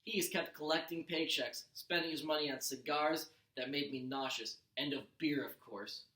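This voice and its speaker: Bright-voiced man reading aloud in an echoey room. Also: fairly high pitch, normal speed. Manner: expressive, stumbles and corrects himself. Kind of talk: animated children's audiobook read-aloud.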